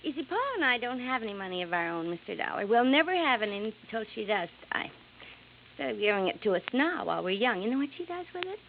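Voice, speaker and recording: High-voiced, bitter young wife. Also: female voice, very animated, fast, creaky. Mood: bitter, resentful. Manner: sardonic, brazen. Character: bitter, sarcastic young wife, drinking and resentful. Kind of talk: 1950s American radio drama acting. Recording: tinny vintage radio with faint noise.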